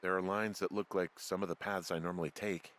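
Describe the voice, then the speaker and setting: An American actor playing a wary, rattled fire lookout in a video game, with a halting, naturalistic conversational delivery. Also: male voice, low voice, fairly even intonation, fairly bright tone. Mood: wary, observant. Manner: halting, conversational, swearing under stress. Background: background music.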